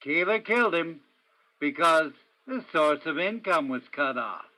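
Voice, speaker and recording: A man's voice: a little high, expressive, measured pace, sharp tone, no echo, poor audio. A man playing a persistent, world-weary hard-boiled detective in a radio crime drama. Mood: accusing, insistent. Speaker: stage actor in a radio play. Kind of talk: old-time radio crime drama acting.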